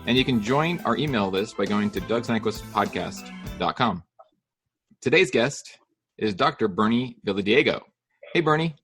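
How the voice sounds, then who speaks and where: A man's voice: on the low side, expressive, fairly bright tone, breathy edge, soft background music. Upbeat man hosting a podcast. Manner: upbeat, laughs easily, quick questions. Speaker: podcast host. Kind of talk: casual interview.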